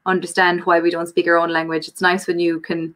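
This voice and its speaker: A young Irish woman telling stories in a lively way during a casual live-stream chat. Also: on the low side, a little fast. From Ireland. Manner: lively storytelling.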